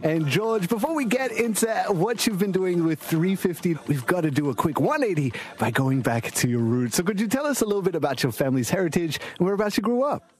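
Higher-pitched, upbeat male radio host. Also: expressive, a little fast, hardly any pauses. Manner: enthusiastic, slangy. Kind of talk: casual upbeat interview.

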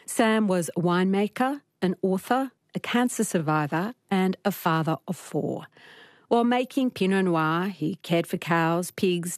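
A woman's voice: lowish voice, a little mellow, dry recording, studio quality. A woman hosting a radio interview programme, introducing and questioning her guest.

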